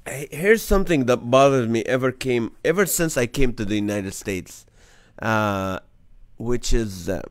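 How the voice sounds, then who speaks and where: A man's voice: medium pitch, unhurried, no echo, studio quality. A man who grew up in the Middle East, hosting a casual live-stream comedy chat and laughing between his jokes. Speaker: comedian host.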